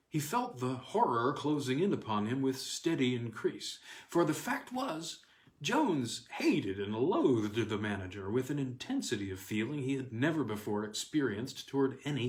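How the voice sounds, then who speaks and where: A man reading a weird tale aloud as scripted narration, with measured, dramatic phrasing and deliberate pauses. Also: mid-range voice, very animated. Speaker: audiobook reader. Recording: roomy, echoing sound.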